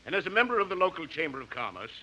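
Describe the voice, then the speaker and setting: An actor playing a proud, pompous civic booster giving a formal speech in a 1950s radio comedy. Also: male voice, fairly high pitch, very animated, very fast. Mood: dignified, pompous. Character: proud civic booster choking on smog while denying it. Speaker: guest speaker. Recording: noisy 1950s radio recording, loud audience.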